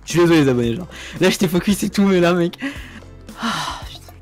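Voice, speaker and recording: A man's voice: sits high, expressive, soft background music. A man from France chatting as he plays, often frustrated and cursing at his mistakes. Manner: rapid, frustrated, swearing. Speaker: co-player, subscriber. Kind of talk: casual gaming chat.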